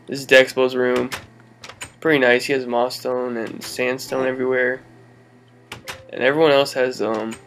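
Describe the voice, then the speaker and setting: A man's voice: mid-range voice, creaky, fairly bright tone. A young man hosting his own gaming video, casually walking viewers through builds with a rambling, laid-back delivery full of fillers. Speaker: gaming channel host. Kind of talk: casual game walkthrough commentary.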